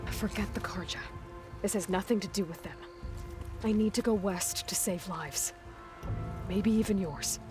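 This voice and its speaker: A woman's voice: mid-range voice, normal speed, long pauses, lightly acted. A woman playing a determined, blunt young huntress in a video game, talking directly and earnestly. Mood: firm, urgent. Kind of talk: video game dramatic acting. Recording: background music under the voice.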